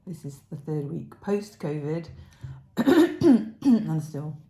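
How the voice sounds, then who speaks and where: A middle-aged English woman with a croaky voice, chatting loosely about her knitting, full of fillers. Her tone is lowish and mellow. The sound carries an echo, as if the room is bare. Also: very animated, extremely slow. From England. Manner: chatty, rambling, many fillers. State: croaky voice after illness. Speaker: podcast co-host. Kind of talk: casual knitting chat. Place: home room.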